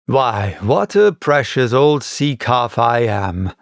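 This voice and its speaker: A man's voice: medium pitch, expressive, slow pace, dry recording, clean studio sound. A man voicing a boisterous one-legged old sailor and tavern keeper in salty, exclamatory seafaring dialect for an audiobook. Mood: hearty, frank. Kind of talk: audiobook character voice.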